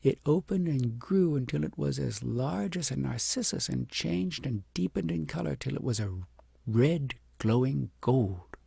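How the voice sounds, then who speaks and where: An audiobook narrator reads a fairy tale in a dark, mellow voice. It is strongly creaky and husky, and the recording is dry. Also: mid-range voice, expressive, measured pace, no echo. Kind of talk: scripted storybook narration.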